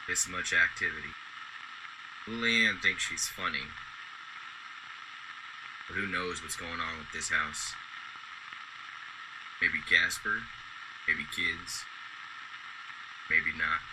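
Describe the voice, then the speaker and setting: A man playing a gentle, playful working man given to musing, in a radio drama. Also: deep voice, background noise.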